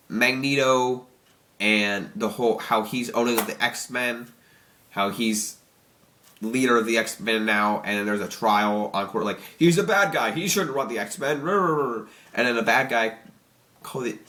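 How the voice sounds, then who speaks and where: A young man talks through a casual review with a stuffy, slightly nasal voice from allergies. His pitch sits in an average range. He rambles excitedly, tripping over his own words and restarting sentences. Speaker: YouTube reviewer.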